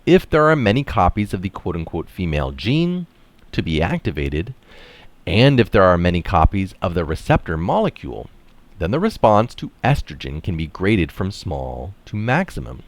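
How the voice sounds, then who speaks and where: A man with a low voice reads scholarly writing aloud. His phrasing is deliberate and emphatic, with pitch that moves widely. The sound is dry and close. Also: no room sound. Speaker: article reader and commentator. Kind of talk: reading a science article aloud, with brief casual commentary.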